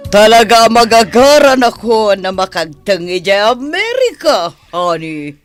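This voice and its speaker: A woman's voice: mid-range voice, big pitch swings, measured pace, dry recording, soft background music. A woman playing an anxious, scheming wife eager to flee abroad, in a dramatic radio drama style. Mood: yearning, fearful.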